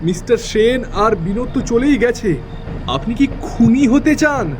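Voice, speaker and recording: A man acting an extremely high-voiced, urgent pleader. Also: animated, a little slow, background noise. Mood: urgent pleading. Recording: music behind the voice.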